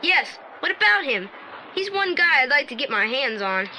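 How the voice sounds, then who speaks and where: An excitable, wary boy sleuth in a vintage audio play. His voice is high and very animated, with a blunt, colloquial, grumbling way of talking and slight background noise. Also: normal speed, exaggerated voice. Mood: keen. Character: excitable, wary teenage boy sidekick detective. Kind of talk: 1980s audio drama acting. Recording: slightly noisy old audio play transfer.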